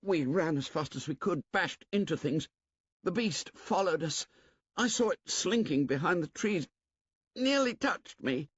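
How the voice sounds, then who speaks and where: A man voicing a frightened schoolboy twin who tells his tale urgently and excitedly. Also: fairly high pitch, measured pace, breathy edge, dry recording, highs cut off, voice pushed up.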